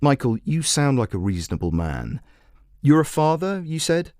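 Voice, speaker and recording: A man voicing a brisk female radio phone-in host who questions and persuades in a measured way. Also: fairly high pitch, very animated, a little mellow, dry recording, studio quality. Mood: persuasive. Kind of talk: talk radio presenting.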